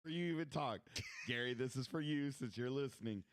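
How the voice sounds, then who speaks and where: A man co-hosting a podcast in casual chat, loud and joking. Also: mid-range voice, fairly quick. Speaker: podcast co-host.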